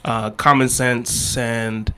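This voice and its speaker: A man's voice: medium pitch, takes it slowly, clean tone. A man in his thirties from the D.C. area, chatting casually on a podcast, with loose slangy phrasing and many restarts. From Washington, D.C. area. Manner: relaxed, repetitive restarts, slangy. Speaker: podcast guest and co-host.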